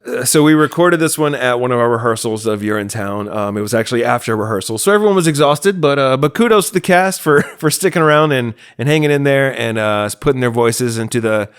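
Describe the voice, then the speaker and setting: A man's voice: medium pitch, fast, few pauses, smooth. An American man chatting casually as he hosts and introduces a podcast. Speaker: podcast host and producer.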